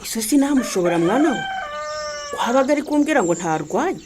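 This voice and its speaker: An actress playing a caring, resigned village mother in a radio drama, expressive and full of interjections. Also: on the high side, quick pace, lightly acted. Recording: faint background noise with birdsong. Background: birdsong.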